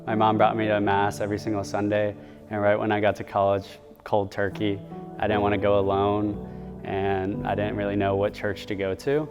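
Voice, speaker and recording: Low, even-voiced young man with music. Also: normal speed, in a large hall. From Texas. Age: young adult. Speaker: student giving testimony. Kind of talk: personal testimony.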